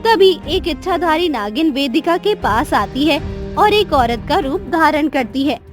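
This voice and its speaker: A woman's voice: high-pitched, expressive, a little slow. A woman narrating a scripted cartoon story as the storyteller. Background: background music.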